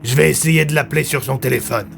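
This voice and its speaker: A man plays a practical police chief in an audio drama. His gravelly, mid-pitched voice is plain-spoken and courteous, with faint music underneath. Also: fast. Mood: practical, calm. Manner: matter-of-fact, colloquial. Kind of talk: audio fiction acting.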